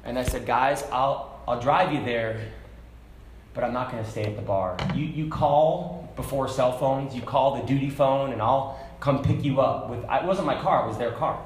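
A man preaching a sermon to a congregation, talking casually and then building to loud, fervent shouts. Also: mid-range voice, moderate pace, slight background noise. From Hong Kong. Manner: conversational preaching, rising to shouts. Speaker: pastor. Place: church sanctuary. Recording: echoey church room.

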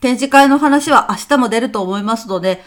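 A high-pitched female office worker acted by a woman in a comic radio play. She is courteous and businesslike, a dutiful subordinate who reports to her boss with careful deference. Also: a little fast, natural voice. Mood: polite, businesslike. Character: dutiful office employee woman briefing her boss, hay fever sneezes. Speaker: former stage troupe actress. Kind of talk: scripted comedic audio drama acting.

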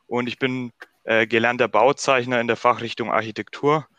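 A man in his early twenties from Bavaria talks as a podcast guest. His voice is bright and smooth, with a very dry, close sound. He answers questions in a conversational way. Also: mid-range voice, level tone, normal speed, dry recording, lo-fi sound. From northern Bavaria, Germany.

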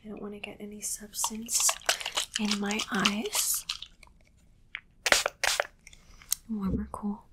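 A woman playing a calm alien examiner in an ASMR roleplay, whispering softly and slowly, with clinical reassurance. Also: medium pitch, heavy background noise, close to an everyday voice. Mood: soothing, clinical. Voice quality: breathy whisper. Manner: soft, unhurried, repetitive, clinically reassuring. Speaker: ASMR performer.

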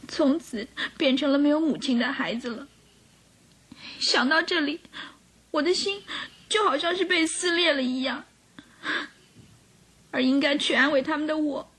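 An actress playing a gentle, sorrowful young governess, earnest and emotional, in a radio drama. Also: female voice, high voice. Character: gentle, sorrowful young governess under suspicion, pleading tearfully.

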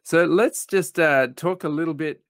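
A man's voice: fairly high pitch, normal speed, fairly bright tone. A man hosting a podcast interview. He introduces his guest and asks questions in an upbeat, friendly way, using filler words. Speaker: podcast host.

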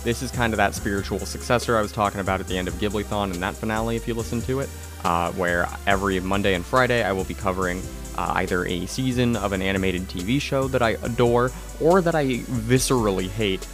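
A young man hosting a casual podcast, talking excitedly and rambling, with a tired voice. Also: on the low side, fast, few pauses, faint music behind. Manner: excitable, rambling, swears, laughs often. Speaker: podcast host. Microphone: close to the mic.

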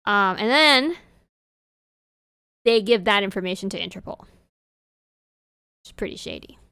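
A woman co-hosts a casual podcast chat, speaking English as a second language. Her voice is smooth and clear, recorded close and dry. She talks in an animated, rambling way, full of fillers. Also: mid-range voice, a little slow, no echo. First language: not English. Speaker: podcast co-host.

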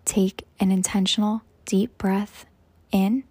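A woman guides a meditation in a soft, breathy, hushed voice, measured and soothing, leaving long pauses between phrases. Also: medium pitch, little pitch movement, a little hiss or hum. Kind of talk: slow guided meditation narration.

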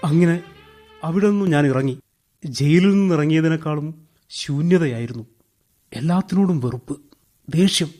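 A high, anguished male voice, acted by a man for a radio drama. He is a wronged former prisoner, embittered and heavy-hearted, and he tells his story haltingly with raw emotion. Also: dark tone, faint music behind, close to an everyday voice. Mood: bitter. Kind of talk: radio drama acting, dramatic first-person narration.

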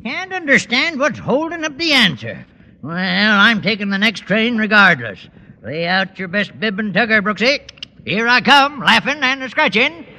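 An actor playing a cranky, lively man in his eighties with a gravelly voice and slangy talk, in old radio comedy style. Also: sits high, moderate pace, exaggerated voice. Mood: spry, gleeful. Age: 80s. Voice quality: creaky, gravelly. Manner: crotchety, slangy, spirited. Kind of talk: 1940s-50s radio comedy acting. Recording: old radio recording, reverberant, faint noise.

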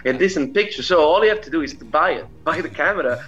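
A man's voice: fairly high pitch, expressive, fast, fairly bright tone, dull audio. A middle-aged man with an Italian accent talking casually as an interview guest, in a rambling manner peppered with filler phrases. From Italy. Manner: rambling, frequent "you know". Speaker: interview guest, indie musician.

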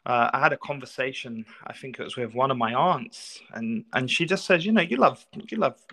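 Male coach as podcast guest, North London accent, mid-pitched, polite, conversational storyteller. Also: a little fast, a bit bright. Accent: North London English. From North London, England. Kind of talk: casual interview storytelling.

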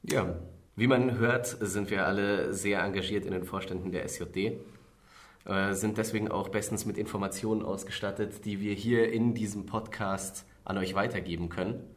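A man in his twenties hosting a casual podcast, friendly and steady as he presents. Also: lowish voice, moderate pace. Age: 20s. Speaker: podcast host.

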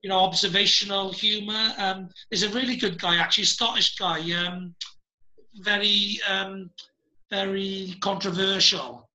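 Bright high-voiced Welsh man. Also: steady tone, measured pace, poor audio. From Wales. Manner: rambling, hesitant, many fillers and restarts. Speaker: podcast host. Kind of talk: casual video-call chat.

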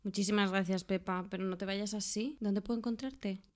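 A woman playing a frightened, grateful man with anxiety in an amateur radio play, loud and melodramatic. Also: medium pitch, steady tone, brisk, lightly acted.

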